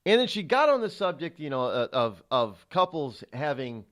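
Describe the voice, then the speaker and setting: A man's voice: fairly high pitch, sing-song, normal speed, fairly bright tone. A middle-aged man hosting a talk radio show, delivering an indignant, rhetorical monologue with frequent stumbles and fillers.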